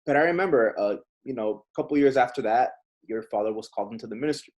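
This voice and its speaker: A man's voice: mid-range voice, quick pace, a little mellow. A man hosting an interview in a loose, friendly way, calling his guest bro and man. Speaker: host. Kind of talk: casual interview chat.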